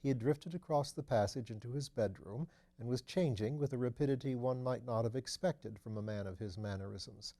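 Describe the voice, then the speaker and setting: A man's voice: mid-range voice, warm tone. A man reading literary fiction aloud as scripted audiobook narration. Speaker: audiobook reader.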